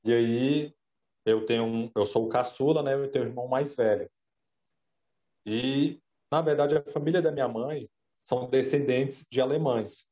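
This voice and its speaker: A man whose first language is Brazilian Sign Language answers interview questions while he signs. His voice sits at an ordinary pitch with a warm, dark color. He tells his story calmly, with small filler tags and restarts. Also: moderate pace. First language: Brazilian Sign Language (Libras).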